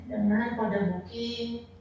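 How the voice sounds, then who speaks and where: Indonesian female organizer, slightly high voice, informal explainer, heavily reverberant and dull recording with some noise. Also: flat delivery, very slow, warm tone. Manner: mixes in English business terms. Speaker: tour organizer answering questions. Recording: very echoey, muffled, noisy pickup.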